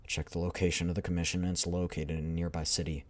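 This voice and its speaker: A man with a deep voice reading the lines of a calm, composed female squad leader in a measured, formal way. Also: lightly acted.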